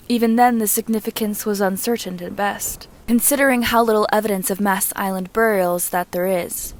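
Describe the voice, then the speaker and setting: A woman reading a story aloud as scripted narration, in a measured, descriptive manner like an audiobook reader. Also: medium pitch. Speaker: reader and narrator of fan fiction.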